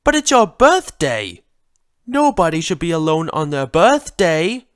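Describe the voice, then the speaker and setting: A woman voicing a mischievous male chaos creature with a soft heart, theatrical and teasing, in a story reading. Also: low voice, very animated, a little slow, dry recording, studio quality. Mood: upbeat, insistent.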